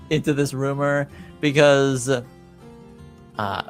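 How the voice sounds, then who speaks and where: A man improvising narration as a game master, hesitant and often pausing to find his words. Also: mid-range voice, faint music behind.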